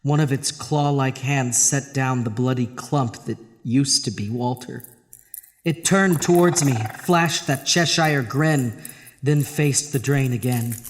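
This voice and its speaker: A man's voice: mid-range voice, a little hiss or hum. A man playing a tormented, terrified husband in a horror audio drama, dramatic and intense. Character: terrified, tormented husband narrating his own horror tale. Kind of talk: horror audio drama, first-person narration and dialogue. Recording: long reverb, music underneath.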